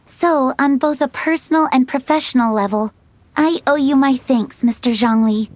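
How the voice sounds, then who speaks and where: A woman's voice: sits high, moderate pace, dry recording, natural voice. A woman voicing a polite, refined antiques dealer in a video game, with a polished, courteous and formal manner. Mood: gracious. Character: polite, refined young businesswoman antiques dealer, grateful and gracious. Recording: faint music behind the voice. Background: soft game music.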